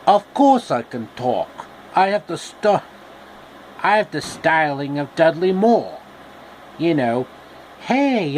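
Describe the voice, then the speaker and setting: A man voicing a chatty, excitable talking raccoon in a showy, exclamatory way, as part of a story. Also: sits high, a little hiss or hum, pitched above the performer's own voice, exaggerated voice, rough recording.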